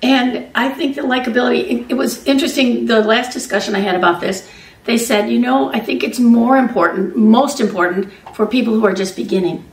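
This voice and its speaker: A woman's voice: on the high side, brisk. An older woman interviewing a guest, conversational and thinking aloud as she asks questions. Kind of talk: panel interview. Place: meeting room in front of a large screen. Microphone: far camera mic. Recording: echoey room from far off.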